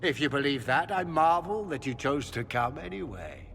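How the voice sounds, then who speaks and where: An actor playing a middle-aged, courtly and condescending magister, a scheming villain in a fantasy video game. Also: male voice, a little high, big pitch swings, normal speed, gravelly, a bit bright, a little hiss or hum, heavily acted. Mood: guarded. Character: haughty, scheming Tevinter magister, smooth-talking villainous father. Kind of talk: dramatic video game acting. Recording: long hall reverb, music behind.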